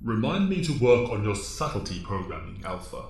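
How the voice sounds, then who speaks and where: A man playing a grave alien mentor, a floating head who speaks with deep, measured formality in an audio drama. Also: sing-song, vocal fry, a little mellow. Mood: grave, patient. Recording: echoey voice.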